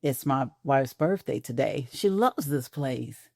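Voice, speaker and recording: A woman's voice: extremely low pitch, lively intonation, slow, a little mellow, no room sound, clean studio sound, exaggerated voice. A woman voicing a proud, attentive middle-aged husband in an audio drama, with a tender, courteous manner.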